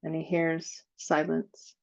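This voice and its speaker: Female meditation guide. Lowish, dark and soft-toned, unhurried and soothing, leaves long gaps. Slightly dull recording. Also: completely flat delivery. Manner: slow, soothing, with long pauses.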